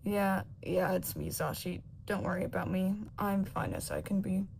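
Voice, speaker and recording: Woman as tired, halting injured hero, creaky. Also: mid-range voice, a little mellow, a little hiss or hum, natural voice. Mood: weary reassurance. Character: exhausted, reassuring injured man, low-key hero.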